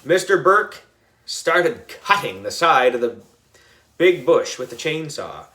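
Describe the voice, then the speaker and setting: A man narrating a children's story in an expressive, playful style. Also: a little high, slow pace. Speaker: audiobook reader.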